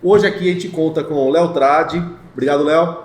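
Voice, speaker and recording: Male host, upbeat and playful, slightly high, roomy sound. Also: steady tone, fast talker. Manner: jokey, upbeat questions. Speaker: podcast host. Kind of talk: casual podcast hosting. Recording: echoey room.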